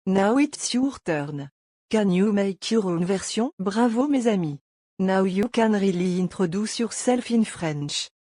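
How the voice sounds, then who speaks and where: Warm, mellow-voiced woman teaching. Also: medium pitch, animated, unhurried, dry recording, studio quality. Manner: patient, step-by-step explaining. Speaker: language teacher. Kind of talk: scripted beginner lesson.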